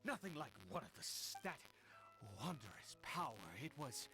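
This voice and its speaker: A man's voice: on the high side, sing-song, a little slow, sharp tone, exaggerated voice. A man voicing an anxious, devout father in a video game, stammering and theatrical, swinging between tenderness and fervour. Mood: awed, fervent. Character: anxious, fervently devout father of a cursed son.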